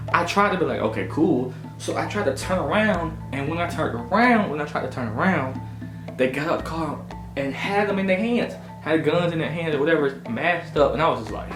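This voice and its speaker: A young American man in his twenties casually telling a personal story in an expressive, self-mocking way and acting out dialogue. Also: fairly high pitch. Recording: roomy echo with music playing behind. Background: music.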